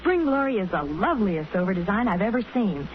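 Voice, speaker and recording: An American actress playing a contented, gushing young married housewife in a 1940s radio advertisement. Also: female voice, mid-range voice, big pitch swings, a little fast, natural voice. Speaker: sponsor spokeswoman. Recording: muffled, hissy vintage radio recording.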